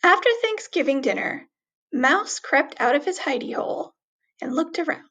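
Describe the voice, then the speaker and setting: A woman reading a children's story aloud expressively, with deliberate, dramatic emphasis on words. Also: high voice, lively intonation, a bit bright, lo-fi sound.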